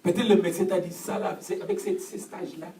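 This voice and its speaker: A man preaching with call and response in a church hall, fervent and repetitive, often shouting. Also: sits high, steady tone, quick pace. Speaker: pastor. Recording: echoey hall, distant mic, congregation noise. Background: congregation answering.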